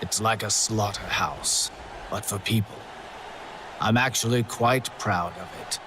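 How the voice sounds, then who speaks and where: Man playing a slow, sinister, suave contest master. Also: fairly low pitch, noisy background, close to an everyday voice. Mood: morbid pride. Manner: slow, deliberate, silky menace. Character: sinister suave male contest master, gleefully morbid showman. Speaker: contest host. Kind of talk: theatrical video game briefing narration. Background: music.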